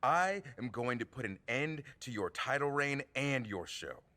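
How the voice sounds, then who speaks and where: An actor playing a brash, theatrical wrestler who taunts and mocks in a video game cutscene. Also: male voice, medium pitch, lively intonation, unhurried, pushed and bright, big-room sound. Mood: mocking. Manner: theatrical, mocking.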